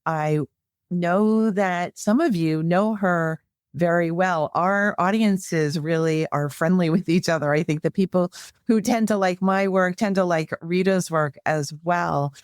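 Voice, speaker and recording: A woman psychologist hosting a podcast, introducing her guest in a measured, affirming way. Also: on the low side, clean tone, no echo, studio quality.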